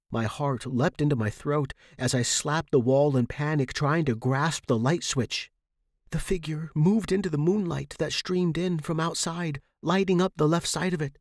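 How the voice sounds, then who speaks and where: A man's voice: mid-range voice, darkish tone, dry recording, studio quality. A man reading a scripted first-person horror story as a podcast narrator.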